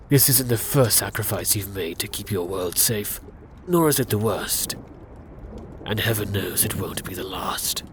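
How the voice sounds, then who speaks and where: A man narrating a scripted second-person fantasy story for ASMR in a hushed, intimate, dramatic way. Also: on the low side, a little hiss or hum.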